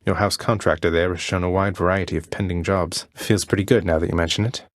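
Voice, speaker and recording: Male actor as young British underworld prince, low voice, wry, politely understated, rebellious, game dialogue, close dry recording. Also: fairly even intonation, fast, no room sound, natural voice. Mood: dry, resolute. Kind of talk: video game character dialogue.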